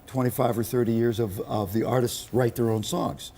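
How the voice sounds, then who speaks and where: A man's voice: medium pitch, steady tone, moderate pace, warm tone. An American man hosting a TV interview, chatting conversationally and prompting his guest. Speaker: TV interviewer and host.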